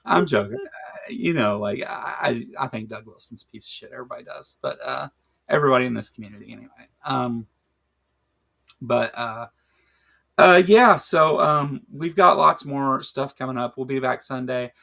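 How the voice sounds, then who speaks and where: A man's voice: mid-range voice, moderate pace, creaky, bright, forceful tone. A man with a Kentucky accent co-hosting a casual livestream chat, rambling with lots of fillers and jokes. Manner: rambling, filler-heavy, joking. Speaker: podcast co-host.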